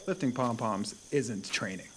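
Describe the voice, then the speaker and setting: A man's voice: medium pitch, measured pace, a little hiss or hum, natural voice. An actor playing a crude, mouthy teenage boy who is vulgar and sarcastic. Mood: mocking. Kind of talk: audio drama acting.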